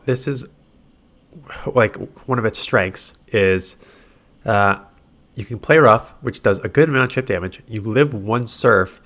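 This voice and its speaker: A man's voice: lowish voice, a bit bright. Congested man commenting on gameplay. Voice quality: nasal. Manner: chatty, filler-heavy, thinking aloud. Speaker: gaming commentator. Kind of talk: casual play-by-play commentary over game footage.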